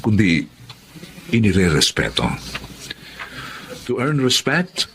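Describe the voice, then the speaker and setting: An actor with a Filipino accent playing a caring, frank older brother who admonishes with examples and rhetorical questions in a conversational drama. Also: male voice, fairly low pitch, huge pitch swings, slow, noisy background, exaggerated voice. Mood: firm, admonishing. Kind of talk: conversational Filipino drama acting with English phrases.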